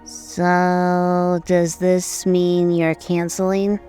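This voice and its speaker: A woman playing a calm, unfazed, supportive female pony friend in a cartoon audio drama, over music. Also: lowish voice, extremely slow. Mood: calm, questioning. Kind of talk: animated audio drama acting.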